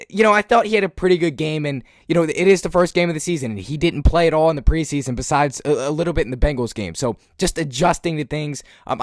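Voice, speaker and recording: A young man with a hoarse voice from screaming at a game, giving a casual post-game breakdown as a fan channel host, clearing his throat often and filling pauses with "um" and "uh.". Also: a little high, dry recording, studio quality. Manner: frequent throat clearing, filler-heavy. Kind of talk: post-game analysis monologue.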